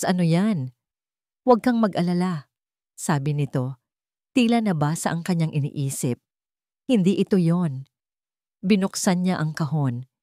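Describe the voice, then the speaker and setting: A Filipino woman with a fairly low voice narrates a romantic drama. Her delivery is sentimental and measured, broken into short phrases. The recording is dry and close, with little room sound. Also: dark tone, dry recording. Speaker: story narrator.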